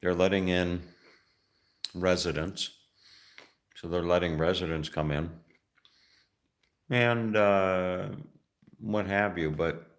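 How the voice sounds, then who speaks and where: A man from the United States with an extremely low, deep voice, talking loosely to the camera as a travel vlogger. He rambles, halts and restarts, filling gaps with "uh" and "you know". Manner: rambling, halting, many fillers and restarts. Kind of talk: casual vlog talk.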